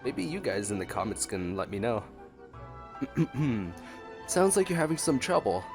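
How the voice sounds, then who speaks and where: A man's voice: lowish voice, very animated, unhurried. A man giving casual, playful reactionary commentary as he streams a game. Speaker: gameplay streamer. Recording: game music behind the voice.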